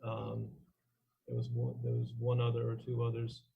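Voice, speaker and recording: A man chairing a formal meeting in procedural language, phrasing things in a halting way. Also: medium pitch, sing-song, creaky, slight background noise. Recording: dull lo-fi online meeting audio.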